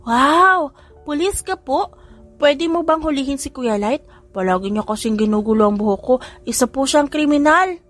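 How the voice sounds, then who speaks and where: A woman's voice: high voice, animated, moderate pace, fairly bright tone, faint music behind, pitched above the performer's own voice. A woman voicing an eager, pouty little girl. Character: sweet little girl wanting a doll.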